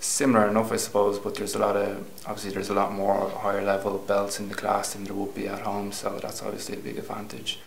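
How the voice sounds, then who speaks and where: Relaxed Irish man, deep gravelly fry, flat delivery, roomy echo. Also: fast talker, hardly any pauses, a little hiss or hum. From Ireland. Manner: laid-back, uses "like" a lot. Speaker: interviewee. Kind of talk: casual interview answers.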